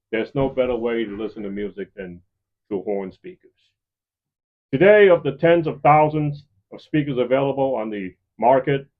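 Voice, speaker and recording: Male host for a hobby hi-fi channel, mid-pitched, presenting to viewers, muffled lo-fi sound. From lives in the Chicago area, United States. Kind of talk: semi-scripted presenting to viewers. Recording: slightly dull, lo-fi voice recording.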